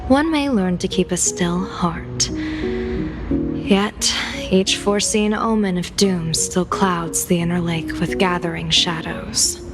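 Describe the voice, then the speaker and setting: Slow, low-voiced solemn woman diviner. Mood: solemn, composed. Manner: measured, formal, solemn. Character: grave, dutiful long-lived female diviner, reserved and poetic. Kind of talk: video game voice acting. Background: background music.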